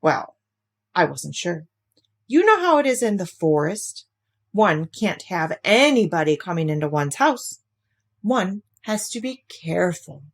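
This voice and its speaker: A woman's voice: fairly low pitch, very animated, unhurried, exaggerated voice. A woman voicing a cagey, fussy male rabbit in a children's storybook, prim and evasive in manner. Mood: relieved, hospitable.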